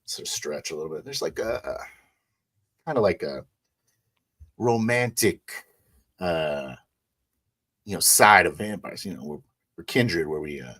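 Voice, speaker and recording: A man's voice: low voice, expressive, measured pace, long pauses, a bit bright. A man improvising first-person narration as a player in a tabletop roleplaying game.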